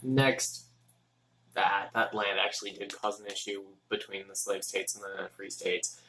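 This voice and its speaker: Young guy from texas mumbling thru his homework, slow and halting, bit of faint hiss behind. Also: fairly low pitch, flat delivery, sharp tone, low-quality recording. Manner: mumbling, halting, muttering to himself. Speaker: student. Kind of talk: casual study vlog, thinking aloud.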